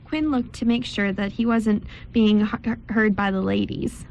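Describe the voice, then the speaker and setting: A woman's voice: mid-range voice, fairly even intonation, a little slow, vocal fry, a little mellow, a little hiss or hum. A woman reading scripted story narration aloud as narrator, occasionally stumbling over the words.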